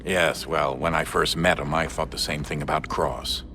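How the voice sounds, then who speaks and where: An actor playing a stern, world-weary middle-aged father in a video game, speaking in a measured, matter-of-fact way. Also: rumbling low, fairly even intonation, noisy background. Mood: resigned, businesslike. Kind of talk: video game cutscene acting.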